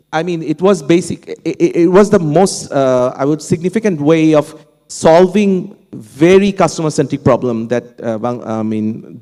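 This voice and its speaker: A man from Bangladesh, a native Bangla speaker with a Bangladeshi accent, speaking on a panel in a halting way, with many fillers and restarts. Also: a little high, dark tone. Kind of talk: unscripted panel talk. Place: conference hall. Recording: long hall reverb.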